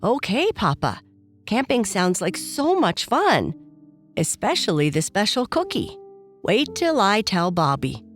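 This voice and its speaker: A woman's voice: mid-range voice, wildly swooping pitch, unhurried, faint music behind. A woman voicing a curious, eager little girl bear cub in a children's bedtime story, chatty and childlike.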